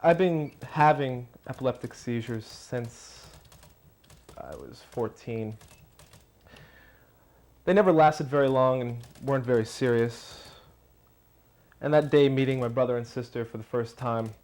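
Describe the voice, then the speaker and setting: A man playing a gentle, embarrassed figure, speaking in a measured, reflective monologue. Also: medium pitch, natural voice.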